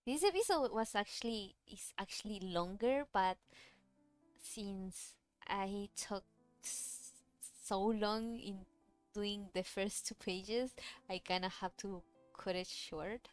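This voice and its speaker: A woman's voice: medium pitch, slow pace, clear, resonant tone. A woman whose first language is Cantonese, chatting casually on a livestream while she draws, rambling and answering chat questions. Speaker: streamer drawing a webcomic. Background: faint music.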